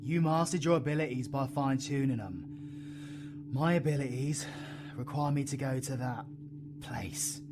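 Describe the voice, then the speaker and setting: A man with a British accent playing a brooding, foul-mouthed dark-magic supervillain in an audio roleplay, intense and full of slang. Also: medium pitch, normal speed, pauses often, background noise, close to an everyday voice. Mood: brooding, resolute. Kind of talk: dramatic audio roleplay acting.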